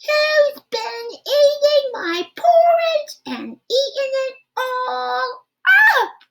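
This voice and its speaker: A woman voicing a small baby rhino boy who wails in a high, sing-song way. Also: big pitch swings, extremely slow, creaky, heavily acted. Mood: wailing.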